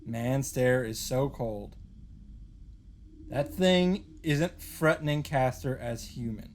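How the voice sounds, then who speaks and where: A man's voice: mid-range voice, animated, slow pace, darkish tone, a little hiss or hum. A man reading a visual novel story aloud as a host, in a dramatic narrating style.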